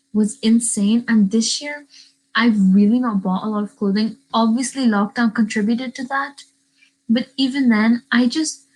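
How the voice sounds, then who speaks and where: Teen girl from northern ireland just chatting on her first livestream, kinda high voice, rambly, webcam mic. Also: rough recording. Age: teens. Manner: chatty and rambling, answers live chat questions. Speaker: YouTuber. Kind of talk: casual livestream chat.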